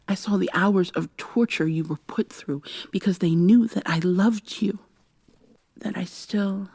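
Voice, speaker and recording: A woman playing an earnest, regretful robot woman in an ASMR audio drama, speaking softly in a formal, measured way. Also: mid-range voice, gravelly, husky, dry recording, heavily acted.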